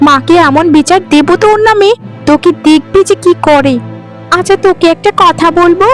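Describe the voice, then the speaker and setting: Extremely high, bullying young stepsister voiced by a woman. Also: moderate pace, faint music behind. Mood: scornful, bullying. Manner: shrill, threatening, scolding. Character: bossy, furious young stepsister, spoiled and bullying. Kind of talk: melodramatic cartoon voice acting.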